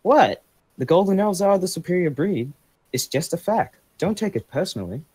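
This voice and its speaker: A man's voice: high voice, big pitch swings, normal speed, a little mellow, husky, heavily acted. A man playing a haughty young elf prince, pompous and condescending, in a fantasy animation audition. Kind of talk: character voice acting audition.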